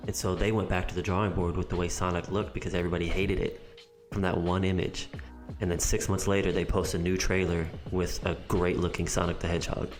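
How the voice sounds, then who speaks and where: A man's voice: low voice, level tone, fast, soft background music. A man co-hosting a podcast, talking casually and thinking aloud with frequent ums. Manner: thoughtful and rambling, with many ums. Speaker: podcast co-host. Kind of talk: casual chat.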